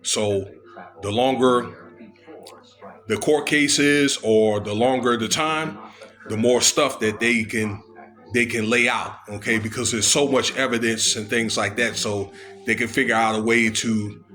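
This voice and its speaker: An American man giving informal, unscripted commentary on legal news, halting as he goes and often checking in with the listener. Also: lowish voice, fairly even intonation, pushed and bright. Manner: halting, with frequent checking tags. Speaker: video commentator.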